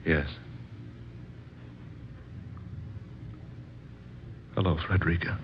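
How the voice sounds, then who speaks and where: Man acting devoted but scheming husband, low voice, earnest melodrama, old-time radio, muffled recording. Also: steady tone, quick pace, slight background noise, close to an everyday voice. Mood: tender. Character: scheming husband Harvey, outwardly devoted, turning anxious.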